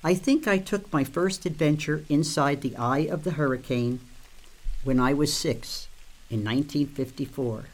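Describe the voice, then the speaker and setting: A woman in her seventies telling her life story in a measured, reflective way. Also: deep voice, rough recording. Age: 70s. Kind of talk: personal storytelling.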